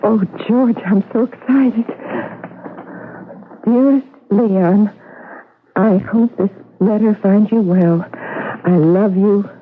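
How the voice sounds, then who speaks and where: A woman's voice: mid-range voice, slow, pauses often. An eager wife with a romantic streak in vintage radio drama. Her voice sits in a middle register. The sound is tinny and narrow, like an old broadcast. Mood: eager curiosity.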